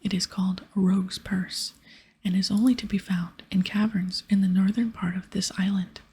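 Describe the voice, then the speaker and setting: A woman whispering in a breathy ASMR style as she casually plays through a game, chatting softly and reading dialogue aloud. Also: medium pitch, flat delivery, moderate pace, a little hiss or hum. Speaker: host of an ASMR gaming series.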